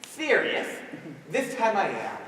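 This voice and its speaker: A man's voice: sits high, wildly swooping pitch, slow, fairly bright tone, exaggerated voice. A teenage boy acting a cheeky, punning prisoner in a school stage comedy, with a jokey, theatrical delivery. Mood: sweet, joking. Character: wisecracking male prisoner, cheeky punning joker. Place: school stage, recorded from the audience. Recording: echoey hall from far off, noisy.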